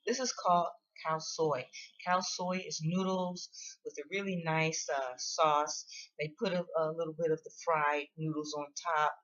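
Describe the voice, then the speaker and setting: A woman hosting a how-to video, explaining things in a casual, unhurried, plain-spoken way. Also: deep voice, steady tone, fairly bright tone, highs cut off. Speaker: host of a how-to video on ordering food.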